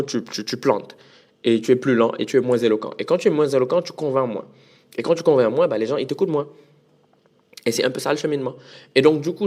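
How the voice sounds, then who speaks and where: A man's voice: mid-range voice, darkish tone. Male podcast host, loose solo talk, switches languages mid-sentence, stumbles and repeats words. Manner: switches between French and English mid-sentence; frequent restarts and repeated words. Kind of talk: casual solo monologue.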